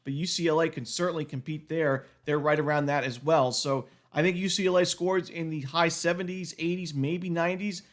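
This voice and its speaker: A man with a cold, his voice going a little, giving a quick solo rundown of sports betting picks packed with stats. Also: on the high side, steady tone.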